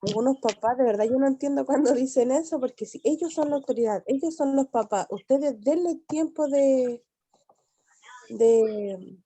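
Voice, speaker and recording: A woman's voice: fairly high pitch, warm tone, no echo. A woman in her twenties with a Chilean accent, an adult student speaking earnestly in class, with many restarts. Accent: Chilean Spanish. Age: 20s. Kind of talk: class discussion.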